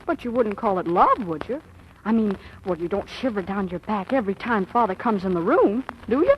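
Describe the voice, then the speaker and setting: A woman's voice: medium pitch, big pitch swings, a little fast, close to an everyday voice. A woman playing a gushing, melodramatic fifteen-year-old girl in love, in 1940s radio acting style. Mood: earnest. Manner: gushing, breathy hesitations and exclamations. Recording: muffled, noisy vintage radio recording.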